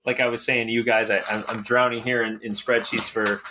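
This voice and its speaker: A man from Connecticut talking casually as an interview guest, halting at times and quick to chuckle. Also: medium pitch, little pitch movement, noisy background. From Connecticut, USA. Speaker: podcast guest, race director.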